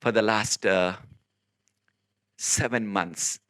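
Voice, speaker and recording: A man from South India whose first language is Telugu, preaching in an earnest, emphatic way, with many filler pauses and sudden rises in pitch. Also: fairly bright tone. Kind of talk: informal recorded sermon.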